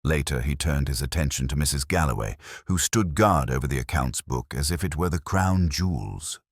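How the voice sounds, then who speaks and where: Deep-voiced male mystery narrator. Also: normal speed, husky, no echo, studio quality. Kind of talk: scripted audiobook narration.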